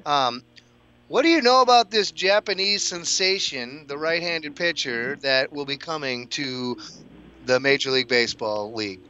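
A man's voice: on the high side, normal speed, pushed and bright. A man hosting a radio sports show, chatting loosely with plenty of fillers. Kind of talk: casual sports talk. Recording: dull lo-fi broadcast sound.

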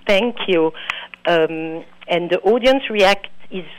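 A woman's voice: fairly low pitch, slow pace, a little hiss or hum, poor audio. A woman from Belgium, a native French speaker with a Belgian accent, being interviewed as a filmmaker and searching for her words. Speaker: interview guest, documentary filmmaker. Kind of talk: radio interview.

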